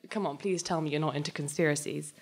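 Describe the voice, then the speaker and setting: Young woman reading sci-fi story out loud on stage, bit low pitched, acts out the dialogue bits. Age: young adult. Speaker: reader of a short story. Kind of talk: live dramatic reading of scripted science fiction, alternating narration and dialogue. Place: on stage at a live reading event, indoors. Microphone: handheld-type microphone on a stand, close.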